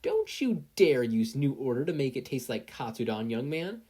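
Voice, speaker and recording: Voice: medium pitch, sing-song, moderate pace, mellow, husky. A podfic reader voicing a fussy, worried, doting mother of a teenage boy. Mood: scolding.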